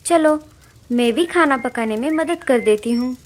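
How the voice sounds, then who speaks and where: A woman's voice: high-pitched, unhurried. A woman voicing a helpful, caring young female bird in a children's cartoon story, earnest and reassuring. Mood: eager, helpful.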